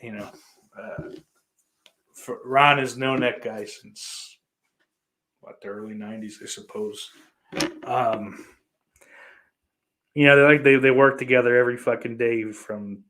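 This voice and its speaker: A man's voice: mid-range voice, little pitch movement, unhurried, long pauses, fairly bright tone, poor audio. Blunt, foul-mouthed man hosting a podcast. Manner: profane, blunt. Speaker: podcast host. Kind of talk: casual chat, reading out and answering listener comments.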